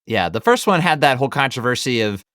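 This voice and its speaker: A man's voice: medium pitch, big pitch swings, quick pace, a bit bright, dry recording, clean studio sound. A man co-hosting a casual movie discussion podcast, talking in a rambling, enthusiastic, conversational way. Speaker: podcast co-host.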